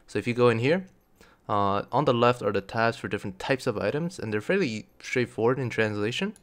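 A man's voice: medium pitch, expressive, a little fast. A young man giving a casual tutorial as a game guide, explaining things plainly. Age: young adult. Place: indoor room, seated at computer.

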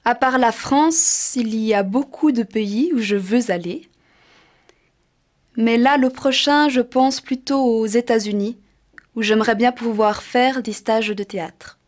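Woman, twenties, from Switzerland, fairly high voice, personal introduction to camera. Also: measured pace. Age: 20s. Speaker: drama student presenting herself. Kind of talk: informal talk to camera about herself. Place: indoor studio.

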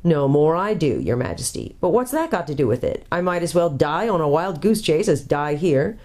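A woman voicing a gruff, skeptical male red dwarf who grumbles bluntly, in a storybook reading. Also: deep voice, very animated, normal speed, darkish tone. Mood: loyal.

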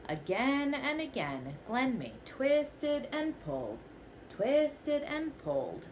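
Young female teacher, storybook read-aloud, slow and lively, bright-ish voice, faint room hiss. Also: sits high, rough recording. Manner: expressive storytelling, first person as the goat. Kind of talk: children's picture book read-aloud. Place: small bedroom, indoors.

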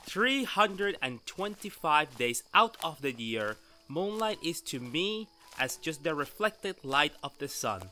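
Playful, adoring radio-drama husband, acted by a man: theatrical, unhurried, sharply bright, music underneath. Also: on the high side, big pitch swings, exaggerated voice, rough recording. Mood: romantic. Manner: florid, teasing, theatrical. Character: playful, adoring husband, male astronomy professor. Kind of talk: old-time radio drama acting.

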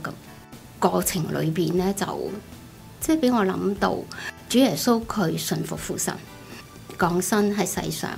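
A middle-aged woman with a Hong Kong Cantonese accent, giving a personal testimony in an expressive, conversational storytelling way. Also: mid-range voice, creaky. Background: background music.

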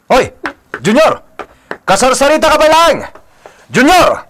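A man's voice: very high voice, very animated, unhurried, fairly bright tone, a little hiss or hum, exaggerated voice. An actor playing a strict, stern father in a radio drama. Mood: angry scolding. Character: strict, stern father and eldest brother, Bugoy.

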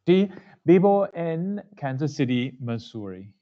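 Very slow, hesitant male Spanish learner. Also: a little high, very animated. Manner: hesitant, searching for words. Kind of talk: halting learner Spanish in a class. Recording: muffled web meeting audio.

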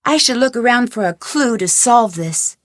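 Woman doing a curious young woman detective, game voice lines, inquisitive, thinking aloud, fairly high voice, dry close sound. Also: lively intonation, moderate pace, dry recording, lightly acted. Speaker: game heroine.

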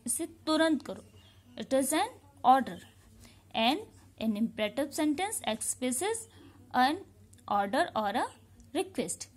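A woman teacher with an Indian English accent, explaining a grammar lesson to young pupils and repeating each sentence patiently. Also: sits high. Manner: slow, repetitive, patient explaining, checking understanding. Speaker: schoolteacher. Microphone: phone camera held over a workbook.

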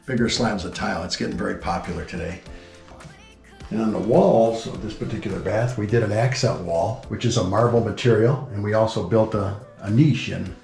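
A man with a fairly low, creaky voice gives an informative house tour. He talks plainly, like a builder going through features. The sound is echoey, with music playing underneath. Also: brisk, darkish tone. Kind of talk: explanatory house tour narration. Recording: roomy indoor echo with music behind. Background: background music.

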